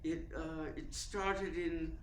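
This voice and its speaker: An actor playing an urbane, cunning theater columnist in a radio play, speaking in a suave, deliberate, formal way. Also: male voice, a little high, little pitch movement. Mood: suave, probing. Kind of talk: radio play narration and dialogue. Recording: roomy echo, faint background noise.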